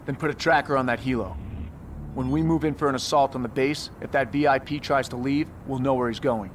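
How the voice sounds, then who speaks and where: A man's voice: medium pitch, fairly quick, a little hiss or hum, natural voice. A man voicing a tough, driven special forces commander in a video game cutscene, terse and commanding. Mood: controlled, businesslike. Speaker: military squad commander.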